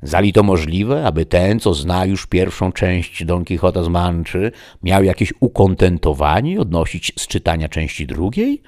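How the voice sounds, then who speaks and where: Opinionated gentleman, played by a man: courtly, disdainful, formal, animated pitch with some gravel. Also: normal speed, few pauses, no echo, studio quality.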